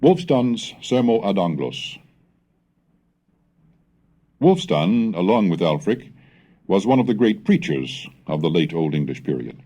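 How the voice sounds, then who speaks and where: A man giving a formal, measured scripted reading, declamatory, in the style of an educational recitation of old texts. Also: low voice, sing-song, warm tone. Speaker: reader and narrator of a language history recording.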